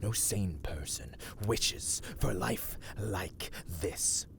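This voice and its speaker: A man playing a brooding, self-loathing vampire in a dramatic ASMR roleplay, speaking in a formal, archaic, hushed and breathy manner. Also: deep voice, animated, very slow, a little hiss or hum, exaggerated voice. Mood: anguished, forceful. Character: brooding, self-loathing male vampire, menacing yet conflicted.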